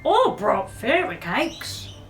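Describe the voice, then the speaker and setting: A woman reading a storybook plays a gruff, reclusive old male neighbour with a snappish, growling manner. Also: high-pitched, huge pitch swings, takes it slowly, a little hiss or hum, full character voice. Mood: softened, thoughtful.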